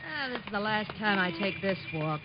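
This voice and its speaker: An actress playing a hard-boiled, scheming young gold digger with a brash, slangy manner in an old-time radio detective drama. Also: female voice, mid-range voice, lively intonation, a little fast, lightly acted. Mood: boastful, sly. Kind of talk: 1940s-50s radio detective drama acting. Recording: dull lo-fi old radio, faint noise.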